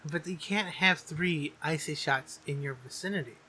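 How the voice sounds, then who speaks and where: A man with a fairly high, very sharp and bright voice. He speaks as a podcast co-host in casual comedic banter. He is jokey and insistent, with plenty of swearing. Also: moderate pace, slight background noise, rough recording. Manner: profane, jokey, insistent riffing.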